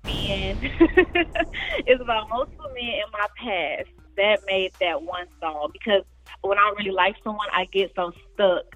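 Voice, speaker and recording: A woman's voice: mid-range voice, fairly even intonation, unhurried, fairly bright tone, rough recording. A woman, a singer talking casually about her music in an interview and often laughing. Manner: chatty, laughs often, addresses listeners as y'all. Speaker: guest singer.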